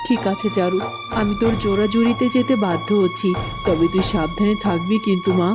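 A woman's voice: mid-range voice, a little fast, over loud music, lightly acted. A woman voicing a worried, protective mother in a fairy-tale cartoon, speaking emphatically. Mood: reluctant. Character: anxious, protective mother of a young heroine.

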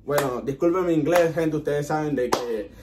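Upbeat young Honduran guy, higher voice. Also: moderate pace, noisy background. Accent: Honduran Spanish. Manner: chatty, excitable, animated with hand gestures. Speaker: reaction video host. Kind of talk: casual music video reaction. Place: small indoor room in front of a TV.

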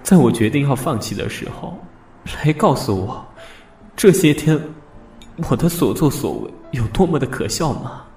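A man's voice: mid-range voice, animated, normal speed, dark tone, lightly acted. Actor as bitter, wounded young man, music behind. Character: hurt, bitter young man, betrayed in love. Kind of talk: audio drama acting.

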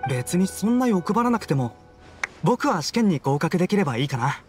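Easygoing young villager in anime game, lightish high voice, lively tone, faint music. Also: male voice, brisk. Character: modest, easygoing young male townsman hoping to pass exams. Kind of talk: Japanese anime game voice acting.

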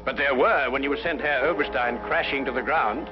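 An actor playing a brilliant, courteous detective, speaking precisely and deliberately in 1950s detective drama style. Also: male voice, medium pitch, close to an everyday voice. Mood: accusing. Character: brilliant, composed male detective, methodical and courteous. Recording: muffled 1950s broadcast, music underneath.

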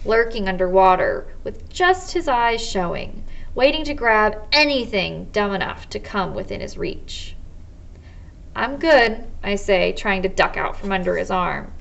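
An American woman in her twenties, a teacher reading a children's novel aloud in measured first-person narration. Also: medium pitch, gravelly, fairly bright tone, lo-fi sound. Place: small indoor office or study.

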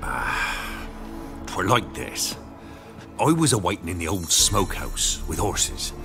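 A man voicing a humble, wary peasant in a video game, with a rural English accent and a halting, deferential manner. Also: lowish voice. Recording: loud music bed.

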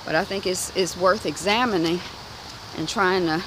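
Animated Detroit woman vlogging on a walk. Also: normal speed, low-quality recording. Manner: animated, acts out quoted voices with big pitch jumps. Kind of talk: walk-and-talk vlog chat. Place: outdoors on a residential street, walking. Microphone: phone held at arm's length. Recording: outdoor noise from street traffic.

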